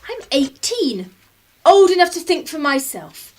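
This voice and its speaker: An actress playing a defiant, idealistic eighteen-year-old English daughter in a radio drama, assertive and sardonic. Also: female voice, extremely high pitch, very animated, unhurried, darkish tone, heavily acted. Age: young adult.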